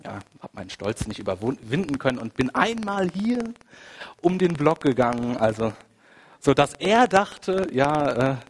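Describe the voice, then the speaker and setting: A man with a cold preaching a sermon, conversational and full of asides, moving between quiet, reflective passages and emphatic, rising ones. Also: medium pitch, sing-song, a little slow. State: has a cold. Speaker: pastor. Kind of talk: sermon with personal anecdote.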